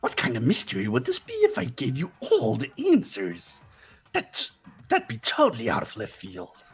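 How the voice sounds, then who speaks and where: Someone doing a raspy taunting bear mascot. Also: mid-range voice, huge pitch swings, faint music behind, full character voice. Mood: mocking. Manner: taunting, drawn-out and sing-song. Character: sly mocking bear mascot, male. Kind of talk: fan voice acting of a game.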